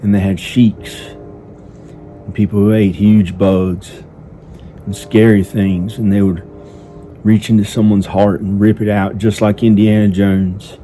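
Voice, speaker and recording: A man improvising a first-person story in a halting, simple, repetitive manner, with hesitant pauses. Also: lowish voice, flat delivery, warm tone, soft background music. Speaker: amateur storyteller narrating own improvised story. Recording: noticeable background noise. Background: ambient sound effects.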